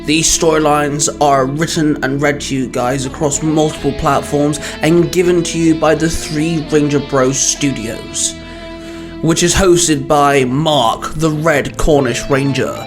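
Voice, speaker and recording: A man with a slightly high voice narrates fan fiction as its host, music playing underneath. The reading is scripted and dramatic, with deliberate emphasis.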